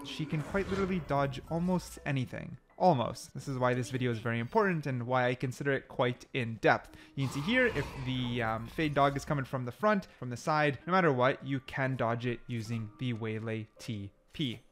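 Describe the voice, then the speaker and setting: A man's voice: medium pitch, a little fast, few pauses, a bit bright, slight background noise. A man giving casual, chatty explainer commentary over gameplay, talking the viewer through it step by step. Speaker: gaming video host.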